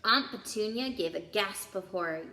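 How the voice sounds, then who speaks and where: A woman reading a children's novel aloud as a storytime host, with expressive narration. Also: medium pitch, moderate pace. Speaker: storytime reader. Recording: roomy indoor echo.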